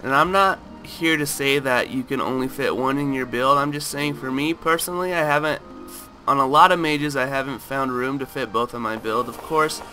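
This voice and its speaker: A man with a raspy, just-woken-up voice talking through a game item comparison in a relaxed way, full of fillers. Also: mid-range voice, normal speed, poor audio. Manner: relaxed, lots of fillers and hedges. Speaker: gaming video host. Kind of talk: casual game commentary. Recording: loud background music.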